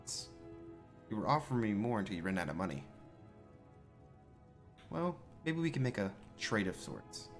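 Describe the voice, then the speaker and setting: A man with a very low voice plays through a game in character. He muses aloud in a casual, conversational way, as if talking to himself. Game music is clearly audible behind him. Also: sing-song, moderate pace, long pauses. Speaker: player role-playing his game character. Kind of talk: in-character video game roleplay commentary.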